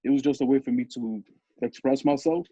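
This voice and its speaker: Relaxed deep-voiced young man. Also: fairly quick, no room sound, muffled recording. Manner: relaxed, rambling with restarts and laughs. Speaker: interview guest, musician. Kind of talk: casual interview talk.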